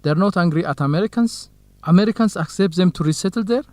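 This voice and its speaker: A man's voice: high-pitched, fast talker, darkish tone, no room sound, close to an everyday voice. Khalid, a friendly researcher played by a man, dry studio sound. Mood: questioning. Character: Khalid, friendly curious Ethiopian male field researcher. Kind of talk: scripted educational radio drama dialogue.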